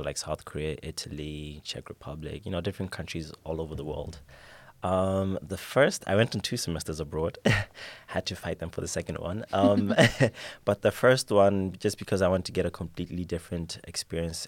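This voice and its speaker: A young man with a very low voice answers interview questions in an unhurried, easygoing way. He fills his answers with ums and restarts. The sound is dry and close, with no room around it. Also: no echo. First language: English. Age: young adult. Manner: relaxed, with many fillers and restarts. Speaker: guest. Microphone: studio mic at a table.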